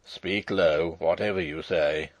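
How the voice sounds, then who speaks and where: A gruff old soldier of a storybook as a man reads him, pitched low and slightly hoarse. He is loyal and wary, giving short, urgent commands in a hushed tone, recorded dry. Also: sing-song, measured pace, dry recording, heavily acted. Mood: hasty, secretive. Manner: terse, commanding. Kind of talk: audiobook character voice.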